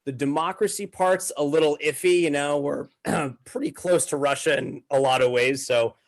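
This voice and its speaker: A man's voice: on the high side, moderate pace. A man in his twenties from Massachusetts with an American accent, chatting and telling stories in an informal, slangy way. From Massachusetts, United States. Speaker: podcast guest. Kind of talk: casual conversational storytelling.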